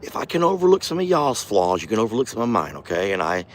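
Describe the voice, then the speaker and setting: A hoarse, middle-aged man rambles in a folksy way as he films outdoors on his farm. His voice sits fairly low. A faint hiss of noise stays underneath. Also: sing-song, fast talker. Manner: folksy, chatty, talks softly to his bull. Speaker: farm vlogger, channel host. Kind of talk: casual outdoor vlog. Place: outdoors on a farm by a bull pen. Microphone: handheld phone camera.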